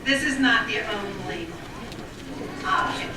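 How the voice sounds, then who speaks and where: Woman at a council podium asking pointed questions, with a big voice and halting restarts. Recorded from far off in an echoey, noisy room. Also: fairly high pitch, expressive. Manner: says she has a big voice. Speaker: resident giving public comment. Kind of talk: public comment at a council meeting. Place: township council meeting room. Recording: echoey room, distant mic, background noise.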